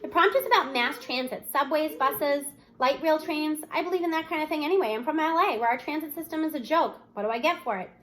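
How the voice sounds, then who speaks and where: A woman's voice: sits high, fast talker, hardly any pauses, a bit bright. A woman reading a children's novel aloud expressively, narrating as a student in the first person. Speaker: audiobook-style reader.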